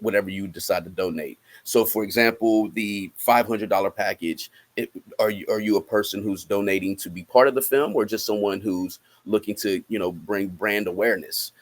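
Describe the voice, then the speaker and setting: A man giving interview answers as a guest, repeating words as he goes and tagging phrases with "right?". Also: lowish voice. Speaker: guest musician promoting a film project.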